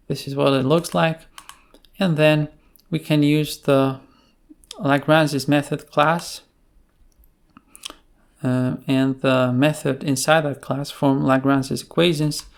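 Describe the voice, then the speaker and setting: A tutorial instructor explaining things off the cuff, in a halting way with pauses mid-phrase. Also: medium pitch, creaky. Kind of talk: unscripted screencast tutorial explanation.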